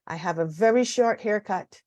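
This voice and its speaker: A woman from Barbados with a Barbadian accent, giving an earnest, measured prepared talk as a guest speaker, with occasional restarts. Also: mid-range voice, darkish tone, dry recording.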